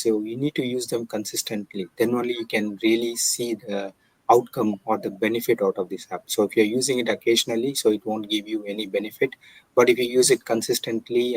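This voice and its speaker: Low-voiced man giving methodical tips. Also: husky, no room sound, poor audio. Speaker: online business owner giving tips. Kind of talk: prepared explanatory tips.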